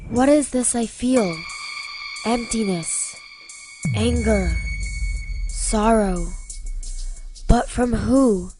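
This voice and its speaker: A woman's voice: a little high, extremely slow, pauses often, music in the background. A woman playing a troubled, sensitive young heroine in an audio drama, musing to herself. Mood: troubled wonder.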